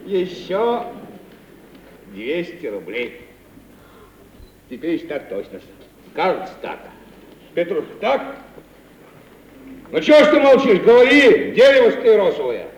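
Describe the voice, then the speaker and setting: An actor playing a crafty, stubborn older provincial merchant, folksy and mock-deferential, in a stage-style radio comedy. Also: male voice, sits high, sing-song, measured pace, pauses often, distant mic. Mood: sly counting. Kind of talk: 1950s Russian radio theatre comedy acting. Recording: muffled, echoey vintage 1950 radio recording, faint noise.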